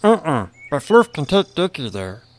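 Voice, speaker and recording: A man's voice: a little high, very animated, normal speed, a little hiss or hum. A man voicing a simple-minded, eager creature sidekick in a fantasy audio story, talking in broken grammar about itself by name. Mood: helpful, simple.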